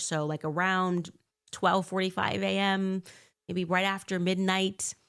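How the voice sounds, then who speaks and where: A woman's voice: on the low side, fairly bright tone. A woman giving a commentary monologue as a podcast host, with sarcastic, incredulous asides.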